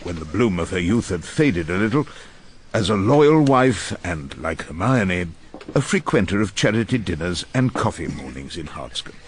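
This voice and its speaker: A man's voice: deep voice, very animated, normal speed. An actor playing an irascible, witty, ageing male barrister in a radio drama, courtly and ironic as he argues and narrates. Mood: wry narration. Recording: noticeable background noise and ambience.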